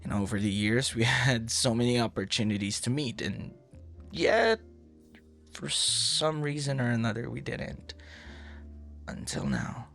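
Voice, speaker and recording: A man playing a flustered, smitten young suitor in an intimate ASMR boyfriend roleplay, speaking in halting, tender murmurs. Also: mid-range voice, big pitch swings, creaky, a bit bright, soft background music, heavily acted. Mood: wistful, tender. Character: smitten, flustered young male stranger turned romantic suitor.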